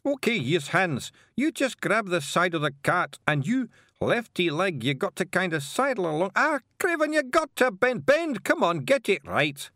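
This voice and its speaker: A man voicing a bossy bearded stranger with a gruff, blustering Scottish accent. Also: high voice, big pitch swings, normal speed, sharp tone, no echo, studio quality, pitched above the performer's own voice, heavily acted. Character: bossy bearded stranger, several little men.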